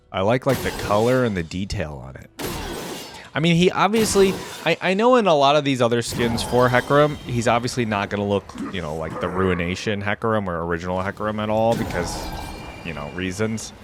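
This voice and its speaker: A man's voice: lowish voice, expressive, moderate pace, over music. A man giving casual, off-the-cuff reaction commentary as a video host, thinking aloud in an informal way.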